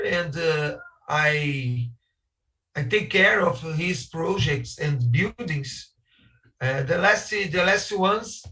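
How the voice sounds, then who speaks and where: A man's voice: high voice, pushed and bright, distant mic, low-quality recording. A man from Brazil with a Brazilian Portuguese accent, speaking English as a second language on a video call, searching for words with many hesitations and restarts. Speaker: architect guest speaker. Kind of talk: halting webinar talk in a second language.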